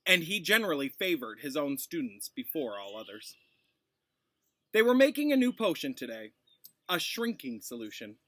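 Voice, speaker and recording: A man, a teacher, reading a storybook aloud in an expressive narrating style. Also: fairly high pitch, normal speed, no echo.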